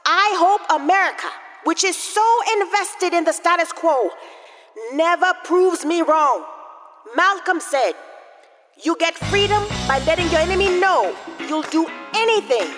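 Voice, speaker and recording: An actress playing a defiant congresswoman, delivering an emphatic, deliberate political speech in an audio drama. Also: extremely high pitch, lively intonation, a bit bright, soft background music, heavily acted. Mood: resolute. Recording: reverberant hall, faint crowd.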